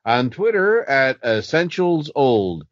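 A man's voice: mid-range voice, sing-song, slow pace, dry recording, highs cut off. A middle-aged man co-hosting a podcast with casual banter and announcements. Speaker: podcast co-host.

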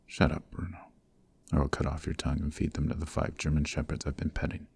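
A man acting a very deep, cold mafia heir. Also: little pitch movement, fast talker, a little mellow, full character voice. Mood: cold, threatening. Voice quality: gravelly, very deep. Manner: stern, domineering, clipped and menacing. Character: cold-hearted, commanding young mafia heir, newly married. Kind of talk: ASMR roleplay, scripted acting.

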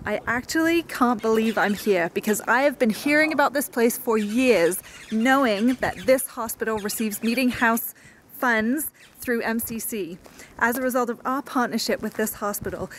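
A woman's voice: a little high, slight background noise. A young woman filming herself at arm's length on a trip, talking with bubbly enthusiasm about what her team is seeing. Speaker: team member on a learning trip. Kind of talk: travel vlog selfie narration. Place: outdoors at a rural mission and indoors in hospital rooms. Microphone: handheld camera at arm's length.

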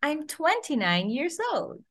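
A young woman from the Philippines teaches a child over a video call. She speaks slowly and deliberately, her fairly high voice swooping up and down as she models each phrase. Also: sing-song, a bit bright. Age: 20s. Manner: slow, sing-song modelling of phrases for a young learner. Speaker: online English teacher.

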